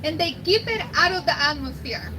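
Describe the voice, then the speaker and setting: A woman from Mexico with a Mexican Spanish accent, giving an earnest, deliberate speech at an outdoor climate rally. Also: high-pitched, expressive, background noise. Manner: earnest, slow and deliberate, grateful. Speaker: rally speaker.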